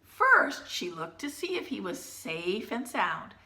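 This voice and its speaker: A woman teacher reading a children's picture book aloud, gently pausing to point out the pictures in hushed asides. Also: medium pitch, big pitch swings, roomy sound.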